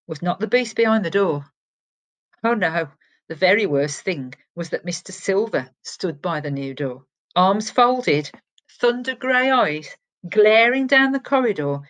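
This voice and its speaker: A woman, a teacher, reading a chapter of a children's novel aloud with expressive storytelling that drops to a hush at tense moments. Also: mid-range voice.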